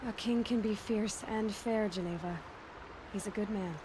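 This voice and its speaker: A woman's voice: medium pitch, moderate pace, background noise, lightly acted. Woman playing a determined young game heroine. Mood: wry. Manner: earnest, dry. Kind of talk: video game drama acting. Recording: clear stream and game ambience behind.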